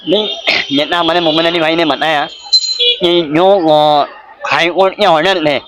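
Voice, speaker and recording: Bright, high-pitched Mumbai man. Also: fairly even intonation, normal speed, rough recording. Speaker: advocate and local party office-bearer. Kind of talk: interview answers, political commentary. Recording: faint background noise on location.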